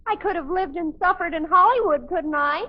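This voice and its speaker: An actress playing a heartbroken, overwrought American teenage daughter in a 1950s radio sitcom, plaintive and melodramatic. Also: female voice, very high voice, a little fast, heavy background noise. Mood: aggrieved. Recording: dull old radio recording.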